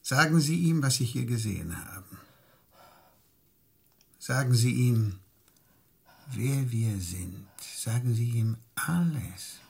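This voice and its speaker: Gravelly, menacing KGB enforcer. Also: male voice, medium pitch, full character voice. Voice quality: husky, gravelly. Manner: slow, commanding, clipped threats. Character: menacing male KGB enforcer, cold and contemptuous. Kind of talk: radio drama acting.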